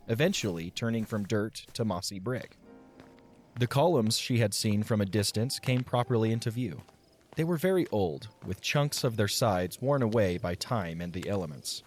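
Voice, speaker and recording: A man narrating an audio drama in a measured, expressive storybook style. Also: lowish voice, faint music behind.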